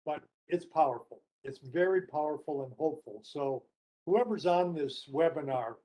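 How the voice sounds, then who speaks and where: Mellow, higher-voiced older man, earnest. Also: lively intonation, normal speed, poor audio. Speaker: webinar panelist and co-author. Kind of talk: earnest explanatory discussion.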